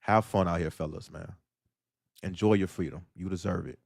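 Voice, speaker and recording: A man's voice: low-pitched, fairly even intonation, normal speed, creaky, a little mellow, dry recording, studio quality. A man hosting a solo audio livestream, delivering a blunt, preachy monologue that fires off rhetorical questions. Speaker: livestream host.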